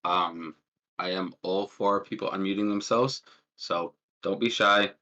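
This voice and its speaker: A man's voice: low-pitched, steady tone, measured pace, sharp tone. A man in his twenties presenting an informal online workshop in a casual, self-deprecating and hesitant way over a call. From born in Jordan, lived in Palestine as a child. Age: 20s. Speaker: workshop presenter, student and threat hunter. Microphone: online call with push-to-talk.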